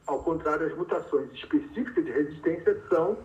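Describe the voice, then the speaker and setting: A man's voice: a little high, fairly quick, darkish tone. A man, a physician and researcher, patiently explaining as a guest in an interview with everyday examples. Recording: distant, echoey, phone-like remote audio.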